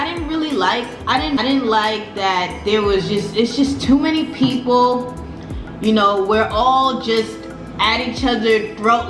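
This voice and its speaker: Chatty Brooklyn woman in an echoey room. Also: on the high side. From Brooklyn, New York City. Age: 30s. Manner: chatty, repeats phrases, slangy. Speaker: vlogger. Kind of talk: casual story time chat.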